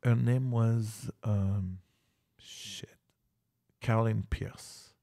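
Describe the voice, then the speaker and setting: Brief: middle-aged French-accented man, gravelly, blunt and laughing, podcast guest on studio mic. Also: on the low side, fairly even intonation, extremely slow, dark tone. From France. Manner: blunt, laughs often, frequent fillers. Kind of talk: casual interview chat. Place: small podcast studio indoors. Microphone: studio microphone, wearing headphones.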